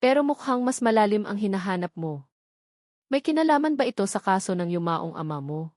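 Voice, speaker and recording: A woman's voice: medium pitch, very animated, a little fast, darkish tone, no echo, studio quality, close to an everyday voice. A woman voicing an ambitious male lawyer, smug, insinuating and menacing, in an audio drama story. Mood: smug probing.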